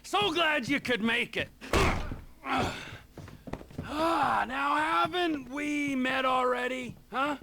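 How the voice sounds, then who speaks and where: A manic, high-strung, gravelly crime boss. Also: male voice, extremely slow, heavy background noise, full character voice. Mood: manic glee. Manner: theatrical, swinging from hushed growls to shouting. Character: manic, volatile male drug dealer boss pitching his startup. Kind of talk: video game cutscene acting.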